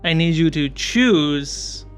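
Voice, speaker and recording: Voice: medium pitch, animated, slow pace. A game master running a tabletop game, describing scenes and calling for rolls, with music under the voice.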